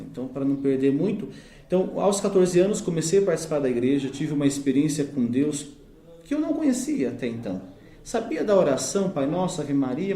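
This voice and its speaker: Earnest man in his thirties, warm voice. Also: on the high side, echoey room. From Brazil. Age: 30s. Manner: earnest, reflective, with restarts. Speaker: deacon giving testimony. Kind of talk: personal faith testimony.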